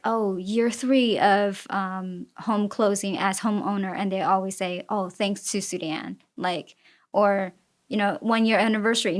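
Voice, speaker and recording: A woman who is a native Chinese speaker, answering interview questions as a real estate agent in an earnest, halting way. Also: medium pitch. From immigrated with a Chinese-speaking family. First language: Chinese (Mandarin and Fujianese).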